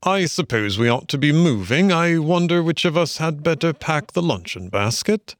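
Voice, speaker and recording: A man's voice: fairly high pitch, animated, moderate pace, no room sound, voice pushed up, lightly acted. Man as a genial, hearty storybook water rat. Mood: relaxed. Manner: genial, conversational, old-fashioned English phrasing. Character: friendly, hearty male water rat, easygoing gentlemanly host. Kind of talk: animal storybook character voice.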